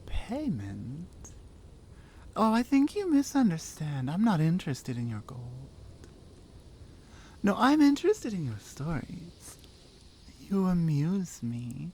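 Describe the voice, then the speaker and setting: A woman's voice: fairly low pitch, wildly swooping pitch, slow pace, pauses often, a little mellow, husky, heavily acted. A woman acting a bold, teasing Nordic warrior in an intimate ASMR roleplay, with a Nordic accent and a playful, confident manner. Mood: amused.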